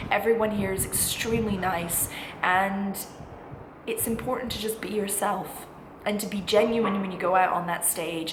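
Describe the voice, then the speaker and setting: A woman in her twenties, a student vlogger, giving chatty, encouraging, emphatic advice straight to viewers in a casual style. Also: mid-range voice. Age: 20s. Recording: echoey room with clear background noise.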